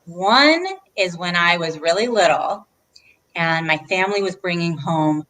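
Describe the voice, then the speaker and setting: A woman's voice: lowish voice, a little slow, fairly bright tone, low-quality recording. Woman leading a children's storytime chat. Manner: encouraging, asks the listeners questions. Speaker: children's librarian hosting a read-aloud.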